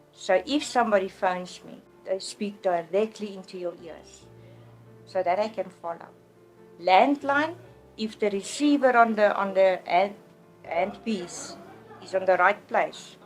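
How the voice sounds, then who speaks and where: A middle-aged woman from South Africa with an Afrikaans accent, telling her own story in an interview in an earnest, plainspoken way, often restarting words. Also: mid-range voice. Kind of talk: personal testimony in an interview.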